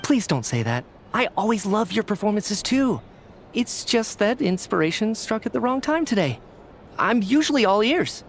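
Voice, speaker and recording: A man's voice: high-pitched, sing-song, moderate pace, a little hiss or hum. A man voicing an earnest, flustered researcher in a video game, hesitant and prone to stammering. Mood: earnest, sheepish. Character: flustered, earnest male researcher, an apologetic theater fan. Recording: music under the voice.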